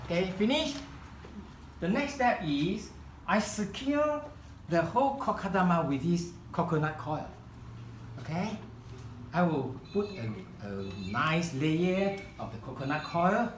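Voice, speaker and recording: Slow, halting man with a Chinese accent. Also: high voice, lively intonation, reverberant, a little hiss or hum. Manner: halting, searching for words, many restarts. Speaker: kokedama workshop founder demonstrating. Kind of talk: live hands-on craft demonstration. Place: room at a home demonstration event.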